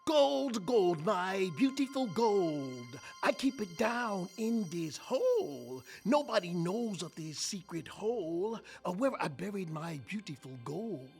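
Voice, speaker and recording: A woman voicing a stingy, self-pitying miser in a radio fable, with a creaky, drawn-out, chanting delivery. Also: mid-range voice, very animated, a little mellow, soft background music. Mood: gloating adoration. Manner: drawn-out, chanting, melodramatic wail. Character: stingy, self-pitying miser man obsessed with his gold. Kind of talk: radio drama storybook acting.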